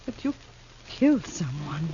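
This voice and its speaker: A mellow, gentle frontier widow. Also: female voice, sits low, big pitch swings, measured pace. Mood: sad, compassionate. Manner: soft, hushed, compassionate. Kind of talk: 1950s radio drama acting. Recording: dull, noisy 1950s radio recording.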